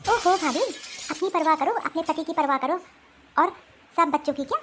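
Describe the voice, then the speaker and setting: Spiteful cartoon sister-in-law, played by a woman: very high voice, accusatory, needling, complaining. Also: normal speed, fairly bright tone, slight background noise. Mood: taunting. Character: spiteful, meddling adult sister-in-law, scornful troublemaker. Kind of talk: Hindi cartoon family drama acting.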